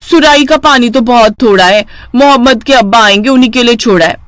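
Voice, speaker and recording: A woman's voice: on the high side, fairly quick, no echo, lightly acted. A woman voicing a haughty, boastful rich elder sister who bosses people around in a storybook tale. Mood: bossy. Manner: boastful, ordering, dismissive.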